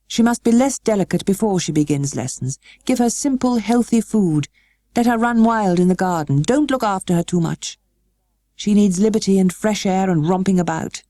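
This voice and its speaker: A woman voicing a weary, melancholy gentleman guardian for a storybook, kindly but stiff, with slow, halting, well-spoken delivery. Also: medium pitch, expressive, no room sound, voice pushed up, close to an everyday voice. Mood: decisive.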